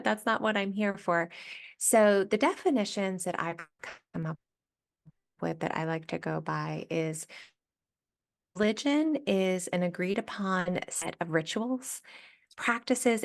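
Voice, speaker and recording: A woman hospital chaplain with a nasal voice, speaking thoughtfully with many fillers in a podcast interview. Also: fairly low pitch. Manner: thoughtful, many ums and uhs. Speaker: hospital chaplain and spiritual director.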